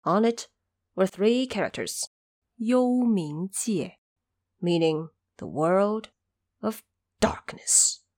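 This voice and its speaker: Woman storyteller with lively, unhurried narration. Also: medium pitch, no echo, studio quality. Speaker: narrator of an audio drama series. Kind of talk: scripted storytelling narration with explanatory asides.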